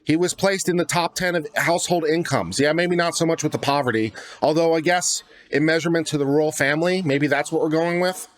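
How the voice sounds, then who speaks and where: A man's voice: fairly high pitch, a little fast, hardly any pauses. A man hosting a legal commentary channel, reading a document aloud and breaking off into casual, sardonic asides and rhetorical questions. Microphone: close studio microphone.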